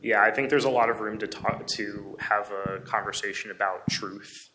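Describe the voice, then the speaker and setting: Bright-voiced man giving an earnest talk. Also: on the low side, fairly even intonation, moderate pace, poor audio. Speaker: conference speaker, amateur radio hobbyist. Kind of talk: public presentation.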